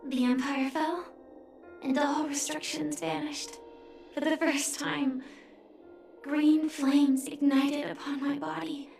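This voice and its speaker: A woman voicing a grieving but resolute young knight in a video game, solemn, restrained and softly breathy. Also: high-pitched, level tone, slow, exaggerated voice. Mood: solemn, reflective. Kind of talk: dramatic video game voice acting. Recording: roomy echo, music underneath. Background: music.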